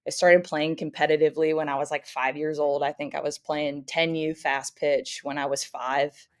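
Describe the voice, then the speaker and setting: A young American woman raised in the Midwest, a softball coach talking casually and cheerfully as a podcast guest, with frequent "um" fillers. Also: low-pitched, little pitch movement, normal speed. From United States (grew up in St. Louis, Missouri). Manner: friendly, upbeat, frequent "um" fillers. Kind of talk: casual interview chat.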